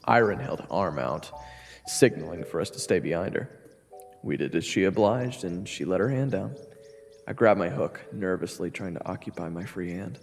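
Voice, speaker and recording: Wry, uneasy adventurer, acted by a man: low voice with lively swings, long reverb, music beneath. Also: vocal fry, breathy edge, full character voice. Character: weary, uneasy one-handed male human adventurer. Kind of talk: first-person story narration and dialogue.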